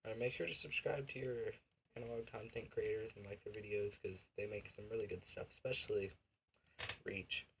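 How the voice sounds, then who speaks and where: A man hosting a reaction video, chatting casually in a loose, unhurried, offhand way. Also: on the low side, fairly even intonation. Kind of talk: casual chat. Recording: faint background noise.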